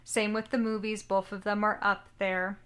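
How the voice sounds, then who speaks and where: A woman's voice: mid-range voice, little pitch movement, normal speed, a bit bright, clean tone. A young woman co-hosting a casual anime podcast, chatty and rambling, often second-guessing how she pronounces names. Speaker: podcast co-host.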